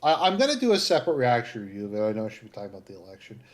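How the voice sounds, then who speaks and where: A man with a Southern Ontario Canadian accent, chatting casually as a livestream host in a halting, rambling way that sounds like thinking aloud. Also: mid-range voice, huge pitch swings. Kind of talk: casual chat, live commentary.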